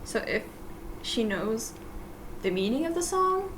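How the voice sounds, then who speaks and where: A woman whose first language is Russian, hosting a casual solo podcast, rambling and self-deprecating with soft laughs and plenty of "like" fillers. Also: fairly high pitch, very animated, unhurried, noisy background. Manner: chatty, full of "like" fillers and restarts. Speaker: podcast host.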